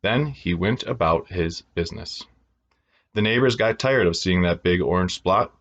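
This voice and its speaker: A man reading a children's storybook aloud in an expressive storytelling style for young listeners. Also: deep voice, normal speed, highs cut off.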